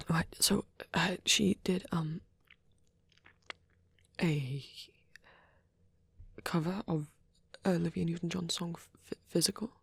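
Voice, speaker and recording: A woman voicing a shy young male vampire boyfriend in an ASMR roleplay, rambling softly and haltingly in a breathy near-whisper, with stammers and restarts. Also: fairly low pitch, no room sound, full character voice. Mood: flustered, bashful. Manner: hesitant, flustered, halting, with many stammers and trailing restarts.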